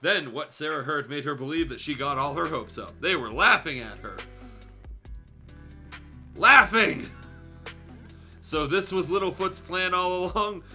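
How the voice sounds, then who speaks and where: A man with a very bright, fairly high voice reads aloud on a livestream. He slips between narration and joking asides in a sardonic, casually sweary way, with guitar music behind him. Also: lively intonation, a little slow, pauses often. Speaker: streamer reading fan fiction aloud. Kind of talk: livestream read-aloud with joking off-the-cuff commentary.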